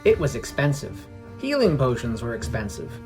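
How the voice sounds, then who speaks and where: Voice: mid-range voice, sing-song, moderate pace, a little mellow. A podcast narrator reading a scripted fantasy story. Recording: music under the voice. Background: background music.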